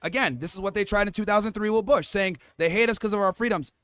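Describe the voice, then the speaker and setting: A man hosts a street debate and argues with firm confidence, working through his case point by point. His voice sits fairly high for a man. The recording is close and dry. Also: fast, fairly bright tone, clear, resonant tone, no echo. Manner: assertive, lists points by number, cuts in to hold the floor. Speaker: debate host.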